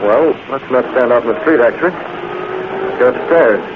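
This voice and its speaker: An actor playing a busy male newspaper publisher who answers curtly and briskly, in 1940s radio acting. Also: mid-range voice, phone-quality sound, lightly acted. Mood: impatient. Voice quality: brisk, curt replies. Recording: thin hissy 1940s radio broadcast.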